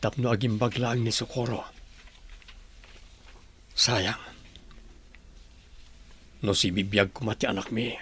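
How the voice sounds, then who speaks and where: An actor in a radio drama playing an earnest, remorseful husband who pleads with devotion. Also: male voice, lowish voice, steady tone, slow pace, long pauses, pushed and bright, a little hiss or hum. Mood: hopeful, earnest.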